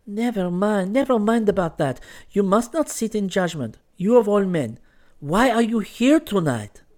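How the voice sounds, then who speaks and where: Storybook part: young Frenchwoman, played by a man, lifted pitch, very lively melody, sharp-tongued and teasing. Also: a little slow. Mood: frank, scolding. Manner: pointed questioning and scolding, sprinkled with French exclamations.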